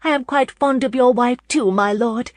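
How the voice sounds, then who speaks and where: A woman's voice: fairly high pitch, moderate pace, no room sound, studio quality, voice pushed up, close to an everyday voice. A woman voicing a flustered, polite young countess in an audiobook novel, eager to please. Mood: bright, gracious. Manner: stammering, breathless politeness.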